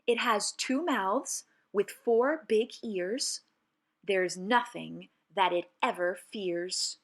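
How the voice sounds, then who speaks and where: A woman reading children's poetry aloud in an expressive, theatrical and suspenseful way, with deliberate phrasing. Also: sits high. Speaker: children's read-aloud presenter.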